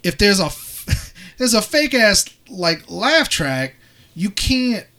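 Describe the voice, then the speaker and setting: A man co-hosting a podcast in casual chat, with somewhat halting phrasing. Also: sits high, big pitch swings, bright, forceful tone. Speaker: podcast co-host.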